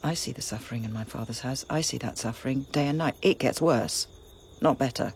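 An actress playing a grieving, vengeful young princess in a classical tragedy, giving an impassioned, bitter lament that sometimes drops to a whisper. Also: female voice, rumbling low, brisk, darkish tone, slight background noise. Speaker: stage actor. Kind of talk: classical tragedy stage acting.

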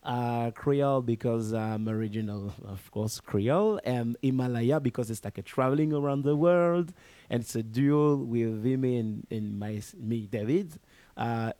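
A man with a French accent talks in a mellow, medium-pitched voice. He is a vocal artist presenting his music, piecing his English sentences together with some hesitation, recorded dry. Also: dry recording. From France, family from Martinique. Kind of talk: introducing himself and his music.

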